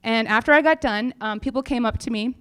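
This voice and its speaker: A woman's voice: fairly high pitch, brisk, fairly bright tone, smooth. A woman company founder giving an informal update on stage, speaking in a frank, humorous, chatty way. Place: on stage at a live event.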